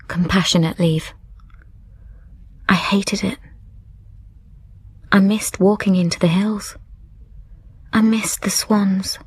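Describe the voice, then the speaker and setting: An actress playing a grieving young widow in a radio drama, earnest and hesitant. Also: female voice, fairly low pitch, darkish tone, slightly rough, no echo. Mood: wistful. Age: young adult. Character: grieving young widow torn by new love, withdrawn. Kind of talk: naturalistic radio drama acting and first-person narration.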